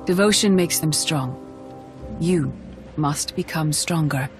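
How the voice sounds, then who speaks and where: An actress playing a calm, wise mystic mentor in a video game, speaking in a measured, earnest way. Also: female voice, sits low. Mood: earnest, serene. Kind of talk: dramatic video game acting. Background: background music.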